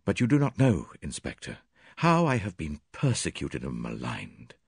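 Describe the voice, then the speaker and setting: Agitated, self-pitying widower with a husky, aggrieved tone, read by a man. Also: extremely low pitch, wildly swooping pitch, moderate pace, no room sound, studio quality, exaggerated voice.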